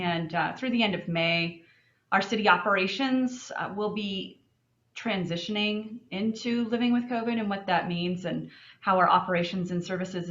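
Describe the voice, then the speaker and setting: A woman giving a staff report at a city meeting, halting with many fillers and restarts. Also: medium pitch, fairly bright tone, echoey room, muffled recording.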